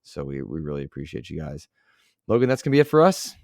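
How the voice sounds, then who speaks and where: Deep-voiced man, earnest podcast host. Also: big pitch swings, quick pace, vocal fry, a little mellow, dry recording, studio quality. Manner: earnest, heartfelt and reflective. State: losing his voice. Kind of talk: casual podcast chat.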